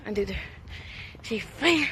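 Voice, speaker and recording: A woman's voice: medium pitch, takes it slowly, noisy background. Chatty Quebec woman vlogging outdoors. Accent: Quebec French. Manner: chatty, excitable, breathless when hurrying. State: slight cold, sore throat. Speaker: hide-and-seek player vlogging. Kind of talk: casual self-filmed vlog narration. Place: outdoors on busy city streets.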